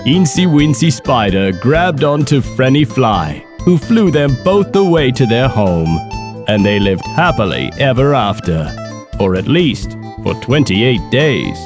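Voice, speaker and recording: A woman's voice: rumbling low, sing-song, measured pace, music in the background. A woman reading scripted narration for a children's story.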